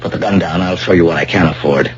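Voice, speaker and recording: A man's voice: deep voice, quick pace, natural voice. An actor playing an earnest, hot-tempered young man, insistent and pleading as he searches, in an old-time radio drama. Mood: defiant. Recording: muffled, noisy vintage radio broadcast.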